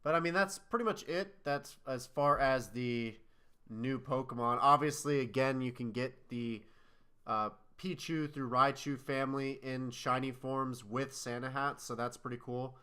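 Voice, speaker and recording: A man's voice: medium pitch, measured pace, pushed and bright, clean tone. A man co-hosting a casual podcast chat, sounding nasal and congested from a cold, rambling and correcting himself as he talks. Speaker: podcast co-host.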